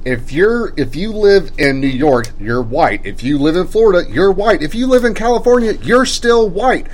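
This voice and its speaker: A man's voice: high voice, animated, normal speed, slight background noise. A man co-hosting a casual podcast, cracking jokes as he talks. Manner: wisecracking. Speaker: podcast co-host. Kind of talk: casual chat.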